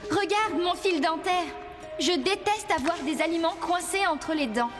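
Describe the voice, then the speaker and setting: A woman's voice: very high voice, a little slow, big-room sound, over music. A woman voicing a friendly, practical, curious young girl in a French cartoon. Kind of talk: French animated series dialogue.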